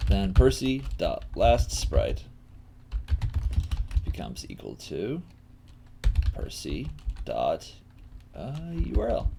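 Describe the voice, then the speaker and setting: A man hosting a live coding lesson, thinking aloud haltingly and often murmuring to himself as he works. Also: lowish voice, gravelly, dark tone. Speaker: coding teacher and stream host. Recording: noisy room with keyboard clicks. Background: typing and clicking.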